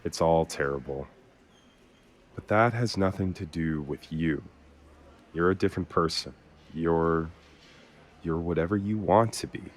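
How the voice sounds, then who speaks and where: An actor playing a weary, grieving brother who speaks haltingly and sincerely in naturalistic audio drama dialogue. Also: male voice, deep voice. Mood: bitter, reflective. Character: weary, consoling man grieving a lost sister.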